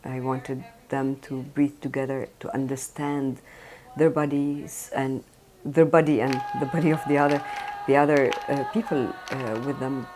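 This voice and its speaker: Low-voiced earnest woman director. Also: steady tone, noisy background. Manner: earnest, halting with frequent fillers. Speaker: theatre director. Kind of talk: documentary interview.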